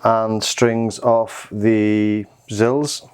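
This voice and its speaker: A man's voice: fairly low pitch, little pitch movement, very slow, loud music behind the voice. A man giving a casual, hesitant gear demonstration as a reviewer, thinking aloud as he goes. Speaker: synthesizer reviewer.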